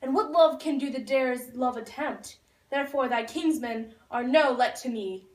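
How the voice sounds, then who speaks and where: A lovestruck young man in a puppet play , voiced by a woman, high in pitch, declaims ardent, poetic verse. The voice is recorded in a very echoey room, well away from the microphone. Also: normal speed, natural voice. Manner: declaiming verse, reciting from a script. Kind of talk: Shakespeare recited for a school puppet show. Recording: echoey room, far from the mic.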